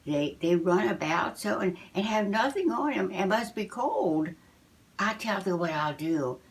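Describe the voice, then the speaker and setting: Slow, earnest storybook wife, read by an older woman. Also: medium pitch, expressive. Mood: earnest, grateful. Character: shoemaker's grateful, kindly, industrious wife.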